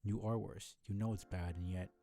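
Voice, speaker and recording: A man's voice: low voice, steady tone, normal speed, warm tone. A man streaming a video game with casual, argumentative and sardonic running commentary. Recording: game music behind the voice.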